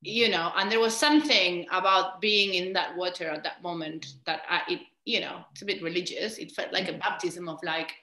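A woman's voice: on the low side, moderate pace, few pauses, pushed and bright, echoey room. A woman in her thirties from Argentina, hosting a panel over a video call from home and talking thoughtfully, searching for words. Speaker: host, moderator. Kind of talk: conversational panel discussion. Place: home room with bookshelves.

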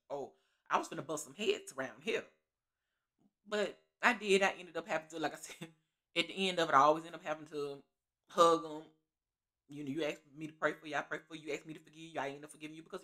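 A middle-aged woman telling a story in her living room in a sassy, animated, preachy way, laughing often, into a webcam. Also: deep voice. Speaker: storytelling vlogger. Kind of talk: casual storytime vlog. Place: living room at home, seated on a couch.

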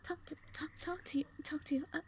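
A woman playing a shy, frightened young owl captive in an audio drama, with a heavy halting stammer. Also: high voice, fairly even intonation, in a whisper, lightly acted. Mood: nervous, hesitant. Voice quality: heavy stammer, breathy gasps. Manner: timid, halting, pleading. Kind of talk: amateur audio roleplay drama.

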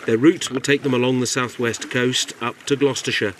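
A man reading a scripted news report voice-over in a measured, clear way. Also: mid-range voice, fairly even intonation, a little hiss or hum.

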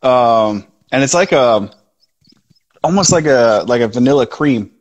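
A man's voice: medium pitch, a little slow, muffled recording. A man in his thirties, co-host of a whiskey review show, chatting casually and enthusiastically about a bourbon on a phone video call from outdoors. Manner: relaxed, enthusiastic about flavors. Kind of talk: casual tasting chat. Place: outdoors in a backyard.

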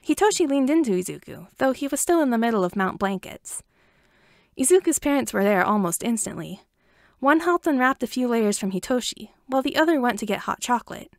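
A woman reads a story aloud in a fairly high voice with plenty of fry in it. She narrates from a script. The recording is very dry. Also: animated, brisk, no room sound. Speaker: amateur audiobook reader.

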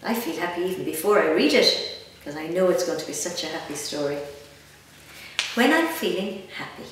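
A woman's voice: fairly low pitch, normal speed. A woman in her fifties with an Irish accent reads a picture book aloud to children, expressively, with chatty asides and questions. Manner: animated, gently expressive, addressing young listeners with questions. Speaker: guest storyteller. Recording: echoey room, distant mic, faint noise.

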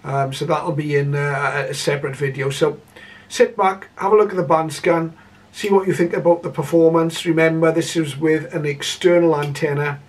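Older male hobbyist presenter, measured and hesitant, even pitch, recorded from a short distance. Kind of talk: casual video introduction. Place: indoor room full of radio equipment. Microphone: camera a short distance away. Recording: slightly distant camera microphone.

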